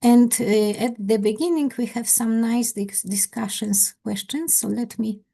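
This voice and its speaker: A woman with a Polish accent, leading an online English conversation class as its teacher, with careful, halting non-native phrasing. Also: on the high side, steady tone, vocal fry, mellow, slightly rough. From Poland. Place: indoors on a video call.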